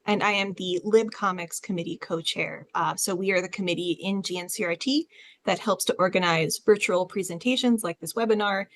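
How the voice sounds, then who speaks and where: A woman's voice: on the low side, fairly quick, a little hiss or hum. A woman who is a librarian giving an informal presentation as a panelist on a webinar.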